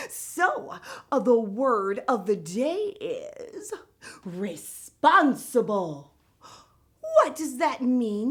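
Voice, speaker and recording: An exuberant, theatrical vocabulary lady for a kids' show, played by a woman. Her voice is high and bright, swooping widely, with whooping, stretched-out emphasis and a giggly temper. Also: heavily acted. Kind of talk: children's show word segment.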